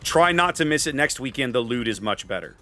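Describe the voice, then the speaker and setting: A man's voice: medium pitch, animated, a little fast, bright, forceful tone. A man chatting casually with viewers while commentating on his own live gameplay, thinking aloud in an opinionated, conversational way. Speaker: game live streamer. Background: faint game music.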